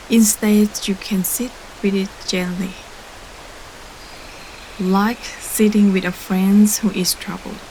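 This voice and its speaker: A woman gently guiding a meditation in a soft voice that turns breathy at phrase ends, soothing and unhurried, with long pauses. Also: mid-range voice, rough recording. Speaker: meditation guide. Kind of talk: guided meditation narration. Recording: clearly audible steady background ambience noise.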